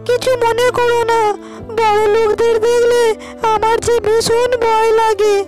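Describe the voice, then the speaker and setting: A woman voicing village women and girls in a cartoon moral tale, speaking earnestly and deliberately. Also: very high voice, level tone, a little mellow, no echo. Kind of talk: cartoon dialogue acting. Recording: dry voice over background music.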